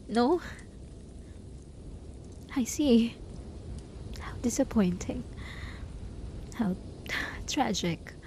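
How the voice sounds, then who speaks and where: A lovesick, possessive hermit voiced by a woman pleads in a highish voice, intimate and breathy. It is an ASMR roleplay drama, desperate and clingy, with some background noise on the recording. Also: darkish tone. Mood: soft begging, then wounded, withdrawn. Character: lonely, obsessive, lovesick hermit begging a traveler to stay.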